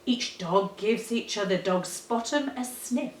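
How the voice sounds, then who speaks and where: Female storyteller for rhyming children's tale, expressive and deliberate, recorded at a distance in a roomy space. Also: medium pitch, a little hiss or hum. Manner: animated, dramatic, deliberate storytelling. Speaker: teacher reading a storybook. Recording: roomy, echoey, distant microphone.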